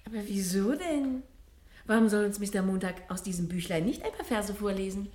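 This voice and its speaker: An actress playing a catty, curious, vain housewife in a radio drama, with an arch, gently mocking delivery. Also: female voice, mid-range voice, brisk, lightly acted. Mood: curious, coaxing. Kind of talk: 1970 German radio drama acting. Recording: roomy old radio studio.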